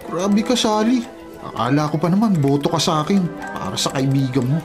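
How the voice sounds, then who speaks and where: An actor playing a jealous, wounded office suitor in a Tagalog radio drama, plaintive. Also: male voice, a little high, a little fast, faint music behind, some chatter behind, lightly acted.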